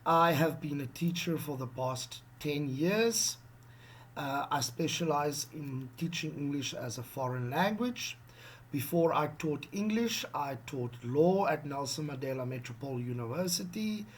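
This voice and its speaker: A middle-aged man from South Africa with an Afrikaans accent, giving a casual lesson on job interviews, animated and checking in often with "okay?". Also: a little high, measured pace, slight background noise. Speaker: online English teacher.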